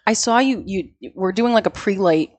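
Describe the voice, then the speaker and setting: A woman's voice: mid-range voice, normal speed, darkish tone, slightly rough, no room sound. A woman co-hosting a casual cigar podcast, playful and teasing. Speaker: new podcast co-host. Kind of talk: casual chat.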